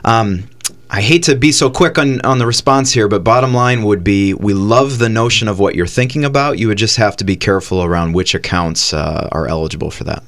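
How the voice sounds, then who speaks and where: A man's voice: lowish voice, brisk, fairly bright tone. A man hosting a radio money show, patiently explaining retirement accounts to a caller. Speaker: financial advisor radio host. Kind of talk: explaining finances on call-in radio.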